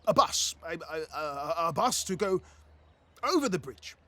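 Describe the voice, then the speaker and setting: A blustering, muddle-headed Emperor for a satirical audio play. The voice is high and forceful, swinging wildly as he stammers through pompous nonsense. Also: sing-song, unhurried, full character voice. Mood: blustering, muddled.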